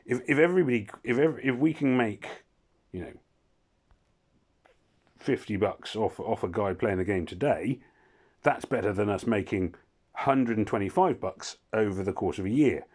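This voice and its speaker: Brief: hoarse man, lowish voice, rambling gaming commentary with dry asides. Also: normal speed, darkish tone. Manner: rambling, self-interrupting, wry asides. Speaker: gaming channel host. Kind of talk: casual gameplay commentary.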